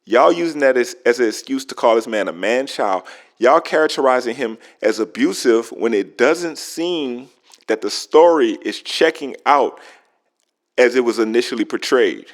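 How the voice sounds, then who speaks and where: A man's voice: medium pitch, animated. Emphatic male commentator with a swooping voice. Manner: emphatic, rhetorical questions, drawn-out words for effect. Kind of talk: opinionated gossip commentary to camera.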